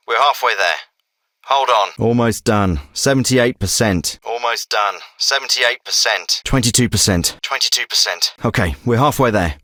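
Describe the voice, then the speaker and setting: A man's voice: medium pitch, bright, forceful tone, no echo. An actor playing a commanding male special forces team leader in a video game, with firm, clipped, businesslike military delivery. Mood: urgent, focused. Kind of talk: scripted military radio dialogue.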